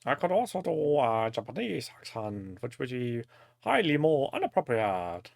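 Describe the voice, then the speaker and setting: Animated German man chatting on a stream. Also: medium pitch, moderate pace, gravelly, no echo, clean studio sound. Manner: jokey, crude humour, teasing. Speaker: live stream host. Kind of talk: casual live-stream chat.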